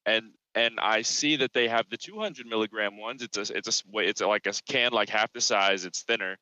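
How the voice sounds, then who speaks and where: A man's voice: fairly low pitch, a little fast, pushed and bright, dry recording. A young man co-hosting a podcast over a voice call, telling rambling stories with lots of swearing and restarts. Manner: rambling storyteller, swears freely, restarts often. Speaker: podcast co-host. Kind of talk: casual chat. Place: at work. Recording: lo-fi call audio.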